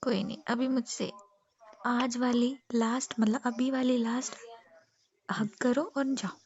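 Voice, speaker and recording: A woman playing an affectionate young wife who teases and gently chides in an intimate romantic roleplay. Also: a little high, steady tone, measured pace, highs cut off. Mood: playful. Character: affectionate, mildly scolding, playful young wife. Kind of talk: intimate romantic couple roleplay in casual Hindi.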